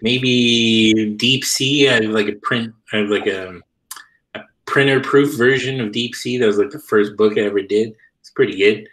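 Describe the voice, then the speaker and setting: A man's voice: fairly low pitch, normal speed, a bit bright, rough recording. A man in casual video call chat as co-host, easygoing and loose in his phrasing, often laughing. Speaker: comic artist and co-host.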